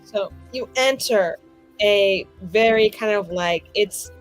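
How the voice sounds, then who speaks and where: A woman improvising narration as a tabletop game master, informal and halting as she pieces descriptions together. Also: medium pitch, soft background music.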